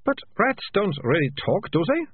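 A man voicing a sharp-tongued, bossy young girl who speaks with scorn. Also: high-pitched, huge pitch swings, normal speed, slight background noise, voice pushed up. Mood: scornful. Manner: scornful, indignant. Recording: tinny, dry, lo-fi narration.

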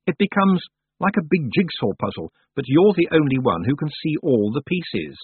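Sensible, reproachful young witch girl read by a man, raised pitch, dry tinny audio. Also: moderate pace, breathy edge, dry recording, exaggerated voice. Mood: firm. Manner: calm, firm, reproachful. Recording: dry, tinny phone-like sound.

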